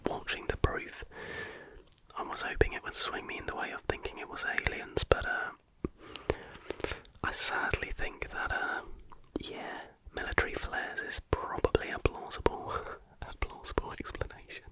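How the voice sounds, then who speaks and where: A British ASMR host whispering casual, chatty reaction commentary in a hushed, informal, rambling way. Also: moderate pace, poor audio.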